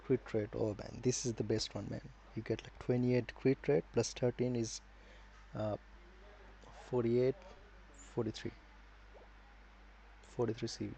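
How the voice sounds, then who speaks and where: A male live streamer with a Nepali accent and a dark, mellow, mid-pitched voice. He gives casual gaming commentary in a halting way, thinking aloud as he talks to viewers. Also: little pitch movement, gravelly, slight background noise.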